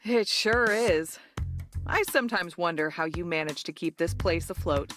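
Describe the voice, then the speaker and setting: A woman's voice: fairly low pitch, normal speed, fairly bright tone, natural voice. A woman playing a playful, teasing young bar worker in an amateur game fandub. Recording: music behind the voice.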